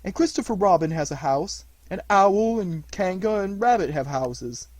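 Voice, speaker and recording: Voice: medium pitch, expressive, moderate pace, a little mellow, dry recording, voice pushed up, natural voice. A storyteller voicing a cheerful, muddled young bear of little brain, happy and earnest in a slightly dreamy way. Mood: thoughtful. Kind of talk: storybook reading.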